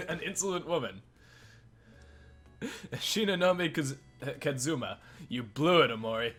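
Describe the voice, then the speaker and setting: A man's voice: on the high side, a little slow, fairly bright tone, soft background music. A man hosting a let's play, reading a visual novel's narration aloud with joking banter.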